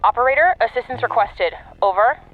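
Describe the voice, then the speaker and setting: An actress playing a cynical, impatient veteran government agent in an audio drama, clipped and commanding, heard through a radio communicator. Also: female voice, a little high, expressive, fairly quick, phone-quality sound. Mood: urgent. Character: brusque, cynical, foul-mouthed government field agent woman, impatient veteran. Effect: radio communicator filter. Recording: band-limited radio link, music underneath.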